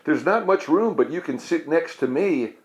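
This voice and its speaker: Man voicing a big eager softball kid, raised pitch. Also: animated, moderate pace, lo-fi sound, natural voice. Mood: friendly, welcoming. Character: big friendly eager young softball boy.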